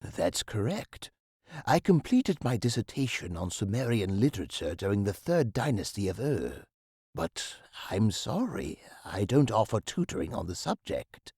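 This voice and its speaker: An actor playing a busy, modest academic professor, formal and articulate, somewhat fussy and evasive, in a video game. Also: male voice, fairly low pitch, big pitch swings, darkish tone, breathy edge, no echo, clean studio sound, heavily acted. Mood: formal, guarded. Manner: formal, articulate, slightly hurried. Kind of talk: scripted point-and-click game dialogue.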